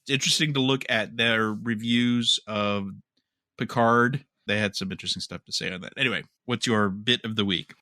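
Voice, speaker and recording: A man co-hosting a fan podcast, talking casually and a bit haltingly as he thinks aloud. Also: on the low side, fairly even intonation, pushed and bright, no echo, clean studio sound. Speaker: podcast co-host.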